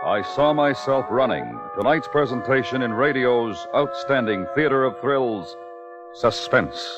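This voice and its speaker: A man's voice: mid-range voice, animated, unhurried. Male announcer, formal and dramatic, vintage radio, brass music bed, muffled sound. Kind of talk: 1950s radio announcing, scripted. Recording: dull 1950s radio recording.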